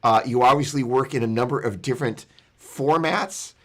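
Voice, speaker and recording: Male host on a video call, casual, thinks aloud with pauses. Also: mid-range voice, fairly bright tone. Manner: loose, hesitant, stops to think mid-sentence. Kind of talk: hosting a video call panel.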